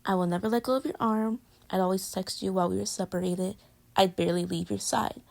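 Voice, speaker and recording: Woman as playful girlfriend, audio roleplay. Gravelly vocal fry, mid pitch, intimate and teasing pillow talk. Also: fairly even intonation, fast, darkish tone, natural voice. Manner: casual, teasing, conversational with giggles. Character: playful, teasing, affectionate girlfriend cuddling in bed.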